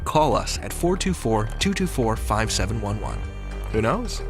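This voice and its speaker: A man's voice: fairly low pitch, big pitch swings, moderate pace. A man hosting a fiction podcast, reading a scripted introduction. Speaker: podcast host. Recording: prominent music under the voice. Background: music.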